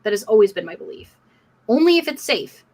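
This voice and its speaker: A woman's voice: mid-range voice, sing-song, brisk. A woman hosting a live community discussion stream, speaking in an emphatic, outspoken, casual way. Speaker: livestream host.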